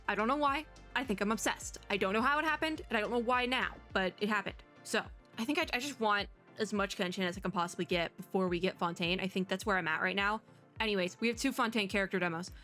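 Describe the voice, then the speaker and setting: A woman giving casual, excited reaction commentary as a streamer, rambling, gushing and often cutting herself off. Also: fairly high pitch. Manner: rambling, gushing, self-interrupting. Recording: music playing behind the voice. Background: game trailer music.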